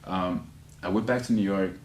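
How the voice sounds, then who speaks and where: A man with a slight Spanish accent, an actor chatting casually as an interview guest, rambling and animated with many restarts. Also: deep voice, normal speed, roomy sound, slight background noise. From United States.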